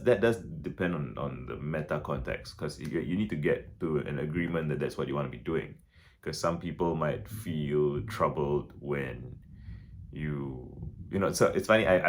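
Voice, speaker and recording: A man talking through his thoughts in a casual reflective vlog, with a deep relaxed voice, many restarts and fillers. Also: slight background noise. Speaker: vlogger.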